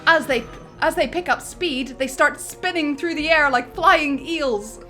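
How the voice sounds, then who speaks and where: A woman's voice: high-pitched, measured pace, bright, forceful tone, soft background music. A woman narrating a film script theatrically, with a comedic dramatic flair. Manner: theatrical, breaks easily into giggles.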